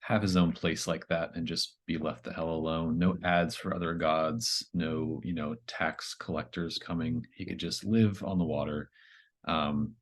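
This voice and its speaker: A man with a very deep voice that stays quite flat. He speaks casually, as a player around a tabletop roleplaying game. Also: a little slow.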